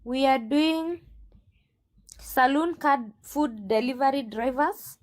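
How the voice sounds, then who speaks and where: High-pitched, assertive Kenyan woman. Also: takes it slowly. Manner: assertive, emphatic, repeats herself. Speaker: recruitment agent being interviewed. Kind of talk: combative interview answers.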